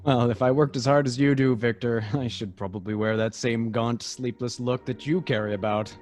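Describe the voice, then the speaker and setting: A man's voice: medium pitch, fairly even intonation, moderate pace, darkish tone, lightly acted. An actor playing a breezy, joking young male student with a wry, jaunty manner in an old-time radio drama. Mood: wry, teasing. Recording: music under the voice.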